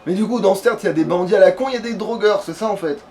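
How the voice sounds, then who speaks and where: A man's voice: high-pitched, rapid-fire, darkish tone. A man playing a video game and chatting casually with a friend, rambling and swearing as he goes. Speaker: video game let's player at the controls. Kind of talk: casual gaming chat with a friend. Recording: clearly noisy home recording.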